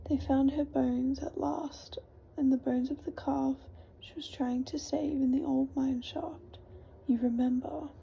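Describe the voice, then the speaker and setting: A woman whispering the lines of a gentle, steady strong man in a storybook reading. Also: high-pitched, a little slow, creaky, highs cut off, a little hiss or hum.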